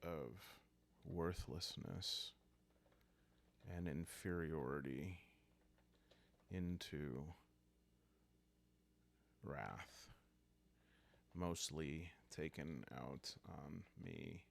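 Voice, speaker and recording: A man softly narrates a solo roleplaying game for sleepy listeners. His voice is low and heavy with fry, and he takes his time, calmly feeling out each phrase as he improvises. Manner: calm, soft-spoken, halting.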